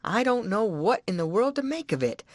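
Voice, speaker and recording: A woman's voice: medium pitch, sing-song, fairly quick, no echo, voice pushed up. A woman voicing a worried, plain-spoken old farmer with an anxious drawl.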